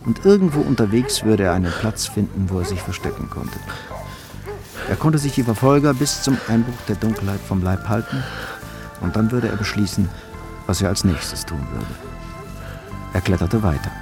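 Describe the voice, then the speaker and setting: A man narrating a crime radio play in a sober, measured storytelling manner. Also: lowish voice, lively intonation, over music.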